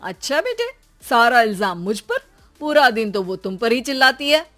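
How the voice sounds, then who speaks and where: A woman's voice: on the high side, big pitch swings, normal speed, fairly bright tone, soft background music. A woman playing a wry, teasing husband and father bantering with his family in a comic audio drama. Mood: defensive.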